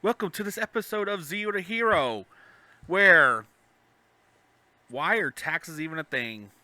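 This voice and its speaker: A man's voice: a little high, animated, measured pace, pushed and bright, no echo. A man hosting a podcast in casual banter, joking and teasing as he goes. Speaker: podcast host.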